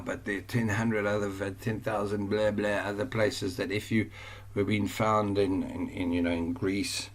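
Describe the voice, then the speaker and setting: A man with a South African accent teaching an online course over a screen share, rambling and often correcting himself. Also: fairly low pitch. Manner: rambling, self-correcting, chatty.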